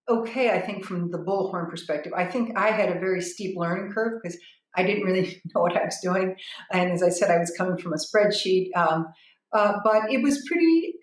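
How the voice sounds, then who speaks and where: A woman giving an unscripted spoken review as a business owner, frank and matter-of-fact, with halting phrasing and repeated words. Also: medium pitch. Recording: roomy, echoing sound.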